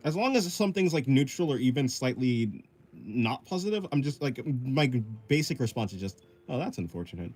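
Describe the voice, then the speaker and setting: A young man in his twenties talks loosely with his viewers as he draws. His voice is a little husky, and his pitch moves around a lot. He reads comments aloud and answers them in an easygoing way. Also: very animated, moderate pace, a little mellow. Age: 20s. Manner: laid-back, reads chat aloud and answers. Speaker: art streamer. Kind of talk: casual live-stream chat while drawing.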